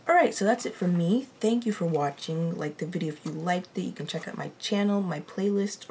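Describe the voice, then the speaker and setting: Young woman, low raspy gravelly voice, casual self-deprecating bedroom vlogger, laptop mic. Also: darkish tone, a little hiss or hum. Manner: chatty, self-deprecating, swears under her breath. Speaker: TV reaction vlogger. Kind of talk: casual chat to camera. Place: her bedroom.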